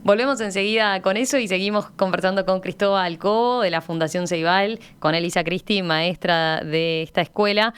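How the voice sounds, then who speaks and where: A woman's voice: mid-range voice, clear, resonant tone. A woman with a Uruguayan accent hosting a conversational radio interview from a studio. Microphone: studio microphone.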